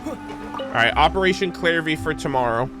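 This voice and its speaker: A man's voice: on the high side, lively intonation, normal speed. A young man doing casual reaction commentary as a game streamer, talking in an informal, slangy way. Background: game music.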